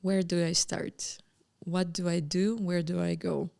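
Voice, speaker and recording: A woman's voice: fairly low pitch, little pitch movement, a little slow, gravelly, darkish tone. A woman moderating a live panel discussion, thinking aloud and rephrasing as she goes.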